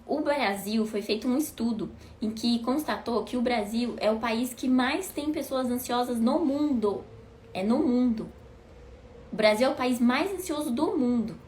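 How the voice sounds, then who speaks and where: A woman in her thirties with a fairly high voice talks straight to her viewers in an easy, conversational way. She keeps putting rhetorical questions to them and then answers them herself. The recording is roomy and echoey, with a faint hiss underneath. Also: a little slow, darkish tone. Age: 30s. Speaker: host. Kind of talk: casual talk to viewers. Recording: echoey room with faint noise.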